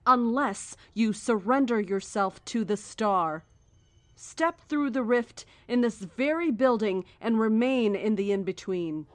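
A woman playing a calm, zealous cult leader in a horror audio drama, speaking in a measured, formal, persuasive way. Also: a little high. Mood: coaxing, zealous.

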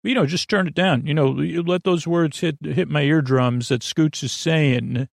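A man hosting a bedtime sleep podcast in creaky, lulling tones, rambling haltingly and often interrupting himself with digressions. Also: on the high side, dry recording, studio quality. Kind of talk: rambling, meandering bedtime monologue.

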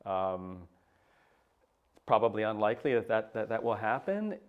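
Halting, low-voiced clergyman. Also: big pitch swings, smooth, phone-quality sound. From United States. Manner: hesitant, many restarts and fillers. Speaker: papal nuncio, interview guest. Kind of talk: thoughtful interview answers.

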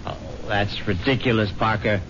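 Actor playing a wry low-voiced philandering husband, muffled radio. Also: male voice, fairly even intonation, moderate pace, fairly bright tone, a little hiss or hum, natural voice. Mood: exasperated. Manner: urbane, theatrical, stammering when uneasy. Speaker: radio drama actor, narrator-protagonist. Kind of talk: 1970s radio drama acting, first-person narration and dialogue. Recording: dull lo-fi 1970s radio recording.

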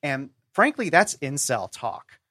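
A man guesting on a podcast, talking through a book with strong opinions and deliberate, emphatic phrasing. His voice is mid-pitched and recorded very dry and close. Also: big pitch swings, pushed and bright, no echo. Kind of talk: conversational book commentary.

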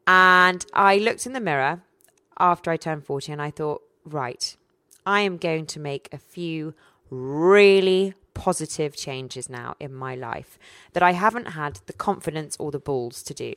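A woman in her forties co-hosting a casual chat, telling personal stories in a candid, animated way. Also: sits low, unhurried, no echo, studio quality. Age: 40s.

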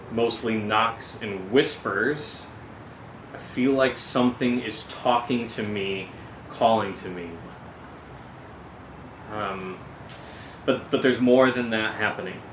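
Man acting hesitant investigator in noisy, echoey room. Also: on the low side. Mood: reading, uneasy. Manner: halting, hesitant. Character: hesitant, uneasy man investigating a creepy house. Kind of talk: amateur audio drama, naturalistic dialogue and letter reading. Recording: roomy echo, loud air-conditioner-like hum.

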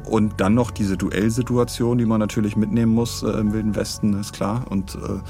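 A man chats as a podcast co-host in a fairly low voice. He meanders hesitantly through his sentences and checks in with tag questions, over faint music. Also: level tone, normal speed, warm tone. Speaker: podcast co-host and audio drama producer. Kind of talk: casual discussion.

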